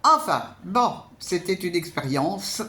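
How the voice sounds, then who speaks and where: Man, somewhat high-pitched, casual film vlogger, hesitant, halting, repetitive phrasing. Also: lively intonation, pushed and bright, a little hiss or hum. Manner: hesitant, halting, repeats words. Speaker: amateur film reviewer, vlogger. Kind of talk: casual spoken film review to camera.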